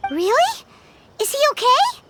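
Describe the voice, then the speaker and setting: Game role: little childlike fairy sidekick, piercingly high, bouncy intonation, excitable, loud music underneath. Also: female voice, unhurried, heavily acted. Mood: surprised. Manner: squeaky, blunt, expressive. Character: tiny, excitable, childlike female fairy companion. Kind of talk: video game voice acting.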